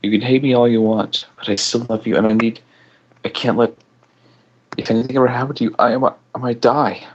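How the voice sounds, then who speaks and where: A man voicing a heartbroken, lonely young sister in a story, pleading in halting phrases. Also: medium pitch, level tone, dull audio, slight background noise, voice pushed up, natural voice. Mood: desperate pleading.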